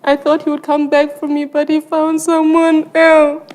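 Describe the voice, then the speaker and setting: A woman's voice: high-pitched, completely flat delivery, normal speed, darkish tone, close to an everyday voice. A heartbroken young daughter played by a woman in a TV sitcom, speaking. She is sulky, plaintive and melodramatic. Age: young adult. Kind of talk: TV sitcom acting.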